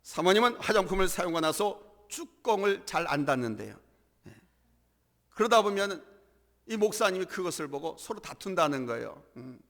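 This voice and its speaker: A male pastor with a cold-hoarsened voice, preaching a sermon emphatically in a church hall, with swells in volume and calls to the congregation. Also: sits high, very animated, moderate pace, fairly bright tone.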